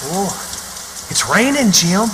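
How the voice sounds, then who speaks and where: Plucky rustic Southern boy, high and very bright, for radio theatre in a reverberant hall. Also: big pitch swings, slow pace, slight background noise, full character voice. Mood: curious. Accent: American South. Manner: folksy country dialect. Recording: long reverberant hall sound.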